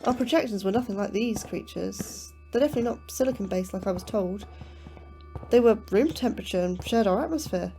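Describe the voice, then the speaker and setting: An actress playing an anxious, apologetic astrobiologist full of questions in a sci-fi horror audio drama. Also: female voice, medium pitch, fairly quick, warm tone, faint music behind, lightly acted, low-quality recording. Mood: inquisitive, uneasy.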